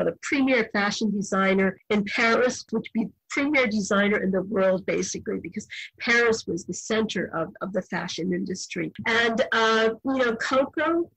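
A husky, breathy woman in her sixties tells stories as a podcast guest. She talks with animated emphasis and throws in quick asides. The sound is dry and close, with no room around her. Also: mid-range voice, no echo, poor audio. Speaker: novelist guest. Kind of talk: podcast interview, relaxed storytelling.

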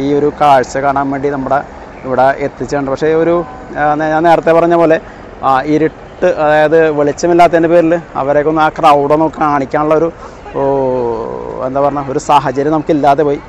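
A man talks through a casual vlog in a slightly high voice. He often pauses and searches for his words. There is light outdoor noise behind him, picked up on a phone. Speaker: vlogger. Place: outdoors on a seaside breakwater at night. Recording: noisy outdoor phone recording.

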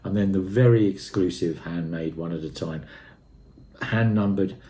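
Male reviewer, 60s. Low, soft-edged mellow voice, emphatic off-the-cuff enthusiasm, recorded at home. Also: moderate pace. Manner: effusive, emphatic, repeats phrases. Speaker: audio equipment and music reviewer. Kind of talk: unscripted product review to camera. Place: room at home, indoors. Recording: roomy, echoey indoor sound.

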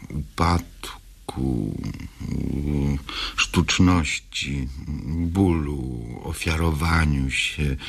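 Very deep, very slow husky man. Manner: halting, deliberate, long pauses between phrases. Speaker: playwright introducing own play. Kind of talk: reflective spoken introduction to a radio play.

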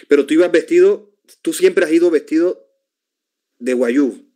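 A man's voice: on the high side, normal speed, mellow. A man hosts an interview and keeps pressing his guest with follow-up questions. He often sums up the guest's answers in his own words. His voice is picked up by a large studio microphone in a home studio. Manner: probing, rephrasing the guest's answers. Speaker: interview host. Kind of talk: conversational interview.

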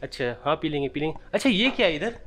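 A man's voice: a little high, expressive, fast, a bit bright, faint music behind. A man, a travel vlogger talking casually and curiously, asking questions and repeating words. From Patna, Bihar, India. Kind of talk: casual chat. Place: small village house and snowy lanes outside. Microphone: handheld camera at arm's length.

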